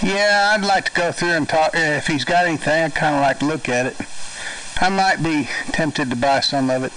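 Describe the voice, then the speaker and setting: A man's voice: normal speed, fairly bright tone. A man with an Arkansas Southern accent chatting casually and colloquially as a CB radio operator. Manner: folksy, colloquial. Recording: distant, noisy CB radio with vehicle rumble.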